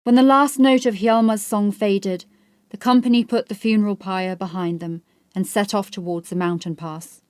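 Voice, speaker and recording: A woman reading scripted literary fiction as an audiobook narrator, in a measured, serious way. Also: medium pitch, fairly even intonation, a little mellow, clear, resonant tone, no echo, clean studio sound.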